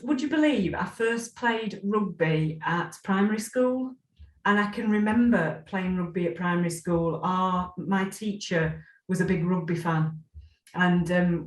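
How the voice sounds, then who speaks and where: A woman's voice: lowish voice, a little slow, reverberant, rough recording. A woman, thinking back as an interview guest, with plenty of ums and restarts. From Scotland. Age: 40s. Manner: warm, reflective, many fillers and restarts. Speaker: interview guest, former player. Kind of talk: casual interview.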